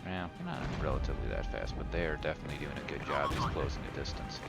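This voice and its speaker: A man giving unscripted commentary on his own game, thinking aloud in a halting, self-deprecating way with many uhs and ums. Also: very deep voice, very animated, creaky. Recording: loud game music and battle sounds behind. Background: game music, artillery fire, vehicles.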